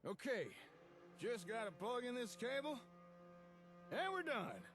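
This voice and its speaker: An actor playing a chatty, enterprising tinkerer in a wasteland game, talking in a folksy, easygoing, encouraging way, with faint noise. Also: male voice, very high-pitched, big pitch swings, unhurried, over music, heavily acted. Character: chatty, enterprising male tinkerer guiding wasteland settlement builders. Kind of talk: in-game character dialogue.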